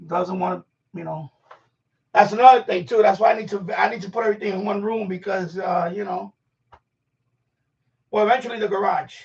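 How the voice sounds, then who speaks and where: High-pitched gravelly man, rambling casually. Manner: informal, slangy, swearing, talking to himself while handling objects. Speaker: live stream host. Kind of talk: casual rambling live stream chat. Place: room at home being set up as a radio shack. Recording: dull lo-fi sound, distant from mic.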